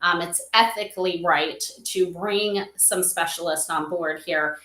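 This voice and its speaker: A middle-aged woman giving conversational interview answers as a podcast guest, animated and emphatic, often checking in with "right?". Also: on the low side, measured pace, echoey room, poor audio. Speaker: podcast guest, spiritual advisor for an AI company.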